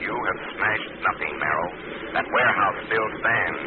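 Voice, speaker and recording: A man voices a theatrical phantom avenger of 1940s radio, taunting and stern. The voice sits fairly low. It comes through a thin, tinny band with loud noise all over it. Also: moderate pace, lightly acted. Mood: stern accusing. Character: mocking, accusing male phantom avenger confronting a killer. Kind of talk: 1940s radio drama acting. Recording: very noisy, narrow-band vintage radio recording.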